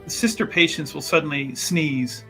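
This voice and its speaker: A man playing a tabletop game, narrating his character's actions deliberately and repeating words as he thinks. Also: fairly high pitch, fairly bright tone, faint music behind, low-quality recording. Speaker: player.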